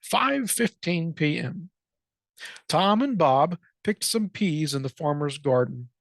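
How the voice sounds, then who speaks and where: A storyteller reading a children's picture book aloud in an expressive read-aloud style. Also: mid-range voice, slow pace, gravelly, dry recording. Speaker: librarian, storytime reader.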